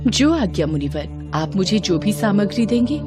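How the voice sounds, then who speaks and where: A woman's voice: medium pitch, sing-song, normal speed, over music, lightly acted. A woman playing a devout, gracious and dutiful sage's wife in a devotional story, speaking politely and earnestly with reverence. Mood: humble, welcoming. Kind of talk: devotional Hindi story dramatization.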